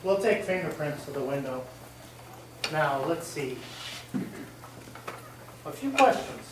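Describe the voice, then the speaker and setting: An actor playing a businesslike police detective who asks questions methodically in a radio mystery. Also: male voice, fairly high pitch, expressive, takes it slowly. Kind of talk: old-time radio mystery acting. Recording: roomy, distant stage recording, faint noise.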